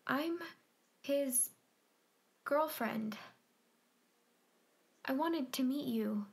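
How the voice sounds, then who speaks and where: A woman's voice: sits high, very slow, creaky, full character voice. A woman playing an earnest, timid but persistent young client, speaking plainly and probingly in a naturalistic audio play. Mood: insistent, earnest. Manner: plain, probing short questions and statements.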